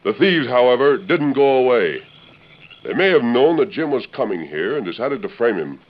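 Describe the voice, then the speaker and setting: An actor playing a steady, authoritative masked western lawman in old radio drama, declaiming with assurance on a vintage recording. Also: mid-range voice, normal speed, highs cut off, natural voice. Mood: explaining, assured. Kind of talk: 1930s-40s western radio drama acting.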